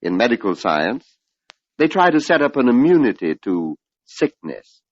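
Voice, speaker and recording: An older man giving a measured, oratorical spiritual lecture on an old radio broadcast, posing rhetorical questions. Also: mid-range voice, very animated, slightly rough. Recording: dull lo-fi vintage radio recording.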